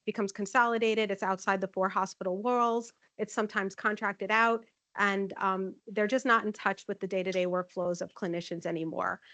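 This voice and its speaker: A woman giving a chatty conference talk as a nursing informatics manager, with a loud voice and many "um" fillers. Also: medium pitch, dry recording. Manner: friendly, chatty, frequent "um" fillers. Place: conference room. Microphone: handheld or podium microphone.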